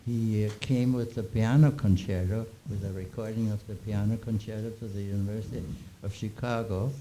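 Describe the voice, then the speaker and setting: A man's voice: fairly low pitch, steady tone, mellow, slight background noise, poor audio. Chuckling Austrian man in his nineties. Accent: Austrian German. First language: German. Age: 90s. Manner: chuckling, halting storyteller with many restarts. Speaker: interviewee. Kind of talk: reminiscing Q&A.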